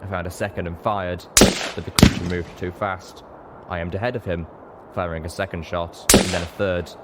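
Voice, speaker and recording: A man's voice: low voice, level tone, moderate pace, heavy background noise. A man reading a story aloud as scripted, dramatic narration. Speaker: audiobook narrator.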